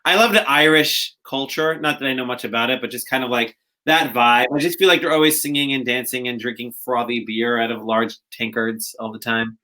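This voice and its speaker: A man's voice: mid-range voice, fairly even intonation, a little fast, few pauses, sharp tone. A young man co-hosting a podcast, chatting casually with rambling, joking asides and frequent restarts. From America, later lived in Israel. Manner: rambling, joking, self-interrupting. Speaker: podcast co-host. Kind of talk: casual chat.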